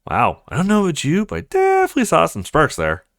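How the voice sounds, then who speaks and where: A man reading visual novel dialogue aloud as a let's play streamer, acting out the characters' lines in an animated way. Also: a little high, fast, dry recording, studio quality.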